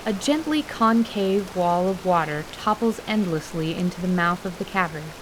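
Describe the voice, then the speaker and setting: A woman narrating scripted fantasy in a measured, literary storytelling manner. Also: fairly low pitch, noisy background.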